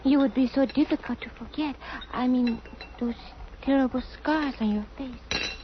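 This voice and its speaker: An actress as a proud young woman in a vintage radio play, troubled and sharp-tongued. Her high voice is cool and deliberate, on a muffled old recording. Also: background noise, close to an everyday voice. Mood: cool, cutting. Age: young adult. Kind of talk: old-time radio drama acting.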